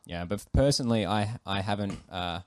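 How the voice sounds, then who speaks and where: A man's voice: lowish voice, normal speed, darkish tone. An Australian man co-hosting a podcast in casual, conversational discussion. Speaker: podcast co-host.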